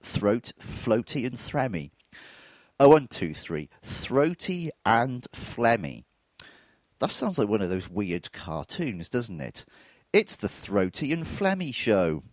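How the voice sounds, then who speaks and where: A man's voice: medium pitch, sing-song, slow, no echo. A man in his forties hosting a solo podcast, reflective and candid, his voice throaty from a touch of flu. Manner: reflective, candid, self-deprecating. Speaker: podcast host.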